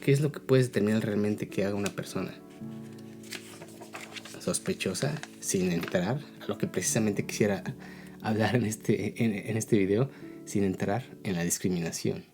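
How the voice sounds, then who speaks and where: A man's voice: fairly low pitch, normal speed, music in the background. A man with a Mexican accent giving a casual, explanatory opinion talk as a video blogger, often checking in with tag questions.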